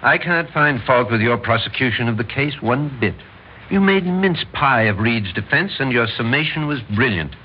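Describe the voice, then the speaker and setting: A man's voice: medium pitch, lively intonation, normal speed, close to an everyday voice. A man acts out a proud old American Major in a classic radio play. He is blustery and insistent, pressing his point in a mid-range voice. The recording is dull, with a faint layer of noise. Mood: consoling, regretful. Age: older. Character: proud, needling old Major, a domineering father-in-law. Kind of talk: old-time radio drama acting.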